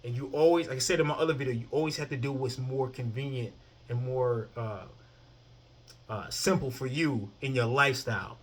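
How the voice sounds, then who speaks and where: A man's voice: medium pitch, brisk. A man in his thirties talking casually to the camera about his own fitness routine, often asking "you know what I mean?". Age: 30s. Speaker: fitness vlogger.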